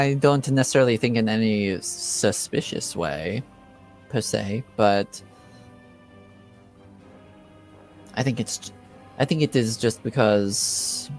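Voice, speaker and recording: Tabletop game role: nosy, playful young noblewoman played by a man, impish and evasive, slow-paced, music behind. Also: medium pitch, lightly acted. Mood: thoughtful. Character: curious, playful young noblewoman, eavesdropping little sister type.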